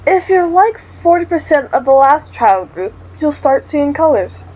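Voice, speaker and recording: Woman voicing reassuring mother, patient, soothing, slightly high, dull recording. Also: big pitch swings, unhurried, warm tone. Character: calm reassuring mother figure.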